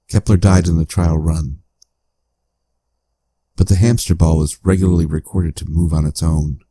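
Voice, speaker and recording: Man acting as male cyberpunk AI, very low pitch, dark mellow tone, formal, measured, analytical audio drama narration. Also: dry recording. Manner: measured, formal, clinical delivery. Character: calm analytical male AI companion in a cyberpunk world.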